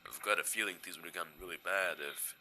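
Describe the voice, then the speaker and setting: A man in a fan audio drama playing a gentle, weary, laid-back male skeleton, speaking in a hesitant, casual, understated way. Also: sits low, quick pace, a little hiss or hum. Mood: warm, awkward.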